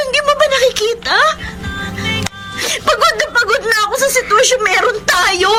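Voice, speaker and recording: Actress doing heartbroken girl in radio love drama, super high voice, emotional, swingy, loud music behind. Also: rough recording. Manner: emotional, pleading, Taglish code-switching. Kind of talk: melodramatic radio romance drama acting.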